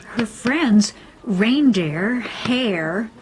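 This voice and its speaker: Woman reading a kids story out loud, slow and dramatic, faint noise behind her. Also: medium pitch, expressive, rough recording. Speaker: storybook reader. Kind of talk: expressive read-aloud children's story narration.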